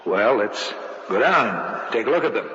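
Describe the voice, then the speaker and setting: An actor with an American accent playing a stubborn, sceptical husband who also narrates an old radio horror drama. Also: male voice, medium pitch, animated, normal speed, pushed and bright. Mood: reassuring. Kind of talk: 1940s-50s radio drama acting and narration. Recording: dull hissy old radio recording.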